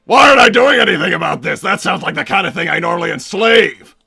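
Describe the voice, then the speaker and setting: A man voicing a bombastic middle-aged mad-scientist villain and doting father, with a raspy, gravelly voice and a theatrical, blustering manner, improvising on a livestream. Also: high voice, lively intonation, fast, sharp tone, soft background music, exaggerated voice. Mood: blustering, bemused. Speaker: livestream host in character. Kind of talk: improvised in-character livestream Q&A, reading out viewer messages.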